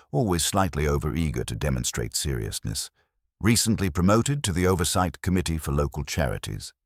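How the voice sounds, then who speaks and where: A man's voice: extremely low pitch, slightly rough, no echo, studio quality. A man reading scripted narration for a mystery story.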